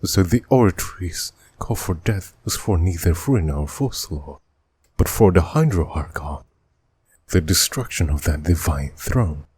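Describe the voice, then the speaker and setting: A man voicing a grave, grieving dragon sovereign and chief judge in a game dub, with formal, measured questioning and a husky, breathy voice. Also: low voice, animated, darkish tone, heavily acted. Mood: solemn realization. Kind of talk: video game dub voice impression.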